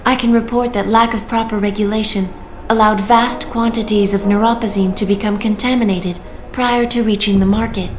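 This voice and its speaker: A woman voicing a female artificial intelligence in a video game, speaking in a smooth, measured and coolly persuasive way. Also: mid-range voice, fairly even intonation, a little hiss or hum, natural voice. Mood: calm persuasion. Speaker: AI guiding the player through a choice. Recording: roomy game audio with clear music.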